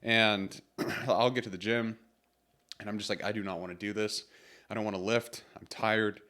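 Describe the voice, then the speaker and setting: A man's voice: on the low side, steady tone, normal speed, pushed and bright. A man hosting his solo motivational podcast in a casual, rambling way, his voice congested from a cold. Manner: casual, rambling with fillers and restarts. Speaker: podcast host.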